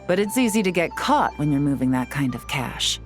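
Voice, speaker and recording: A woman's voice: sits low, sing-song, fairly quick, soft background music. An actress playing a cool, composed woman investigator in a video game, articulate, wry and sly in a businesslike way. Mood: cool, explanatory. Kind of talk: scripted video game dialogue.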